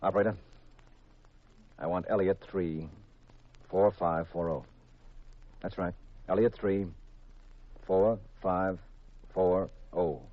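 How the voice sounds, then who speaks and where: An actor playing a cool, wry private detective in an old radio mystery, terse and deadpan, narrating his own case. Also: low voice, slow pace, long pauses. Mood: brisk, urgent. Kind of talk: 1940s-50s radio mystery acting, first-person narration. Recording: muffled vintage radio recording with music.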